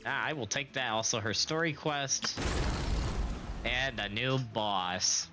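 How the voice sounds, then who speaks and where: A young man streaming a game in casual chat, recovering from illness and slightly raspy, clearing his throat now and then. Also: mid-range voice, music in the background. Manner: slow, chatty, occasional coughs and throat clearing.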